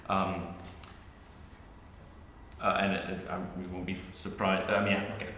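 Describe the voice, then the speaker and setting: A man's voice: deep voice, level tone, normal speed, background noise. A man speaking on an academic panel, thinking aloud with many restarts and fillers. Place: university arts centre hall. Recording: roomy hall with long reverb.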